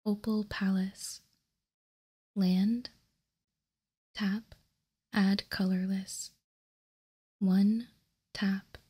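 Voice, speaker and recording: A woman whispers in a very breathy, airy voice with almost no tone to it. She goes slowly and deliberately in an ASMR style, keeping an even, hushed delivery close to the mic. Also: medium pitch. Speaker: host. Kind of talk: whispered ASMR card showcase.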